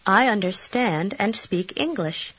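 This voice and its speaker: A woman saying short model phrases slowly and carefully for a language course. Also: medium pitch, lively intonation, fairly bright tone, slightly rough. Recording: dull lo-fi tape recording.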